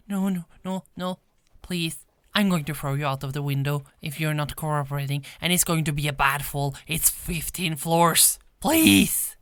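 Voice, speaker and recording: Woman playing a frazzled female detective, slightly low voice, unhurried, hesitant stammer, apologetic, ASMR roleplay, dry close sound. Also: fairly bright tone, no echo. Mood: exasperated, angry. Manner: hesitant, stammering, repeats words. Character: frazzled, apologetic female police detective, exasperated but friendly. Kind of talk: ASMR roleplay, one-sided dialogue.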